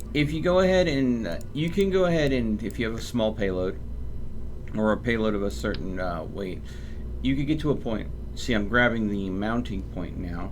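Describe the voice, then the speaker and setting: A man doing casual live gameplay commentary, thinking aloud and explaining as he goes, often halting mid-sentence. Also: on the low side. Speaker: video game streamer. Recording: clear steady background noise.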